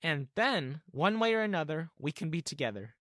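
A man's voice: a little high, sing-song, normal speed, a bit bright, no room sound, studio quality. A man voicing a scheming, flirtatious middle-aged woman who talks in a wheedling, insistent way. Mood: coy.

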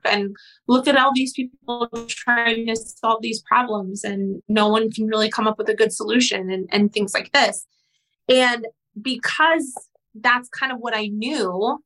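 Bright, frank Spanish-native woman. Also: a little high, rough recording. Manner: frank, animated, swears casually. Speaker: guest entrepreneur. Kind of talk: candid storytelling interview.

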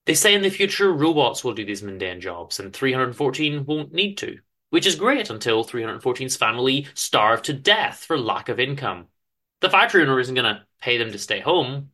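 A man giving a dramatic literary reading of a story, with theatrical, deliberate emphasis and pauses. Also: mid-range voice, pushed and bright. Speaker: author reading own story aloud.

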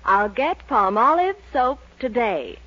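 A woman's voice: fairly high pitch, very animated, slow pace, fairly bright tone. A woman delivering a 1940s advertising jingle in a lilting style. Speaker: jingle performer in a radio ad. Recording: dull 1940s radio recording.

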